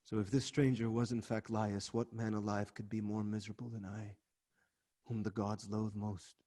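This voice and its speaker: A man's voice: on the low side, little pitch movement, normal speed, close to an everyday voice. An actor playing an anguished king who confesses a killing and curses himself, in a dramatic reading over a video call. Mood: despairing. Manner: swings from hushed dread to loud anguish.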